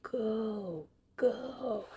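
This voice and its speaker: A woman speaking mostly in a soothing, slow whisper, as a gentle mystical witch in an ASMR roleplay. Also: on the high side. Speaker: ASMR creator playing a witch who teaches potion making. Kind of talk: whispered ASMR roleplay, guided breathing and narration. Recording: clearly noisy, vintage VHS-tape styled audio.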